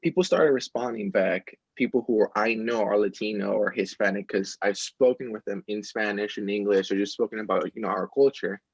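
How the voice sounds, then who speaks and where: A young man with a husky, lowish voice explains things in long, rambling stretches. The sound is dry and muffled, like a lo-fi mic. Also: fairly quick, few pauses, dry recording. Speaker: podcast co-host. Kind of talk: casual discussion.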